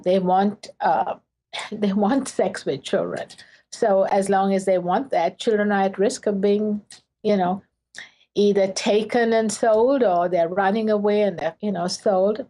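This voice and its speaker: A woman's voice: mid-range voice, fairly even intonation, a little slow, dark tone. A woman from Sri Lanka, a professor, answering interview questions earnestly in short phrases with fillers.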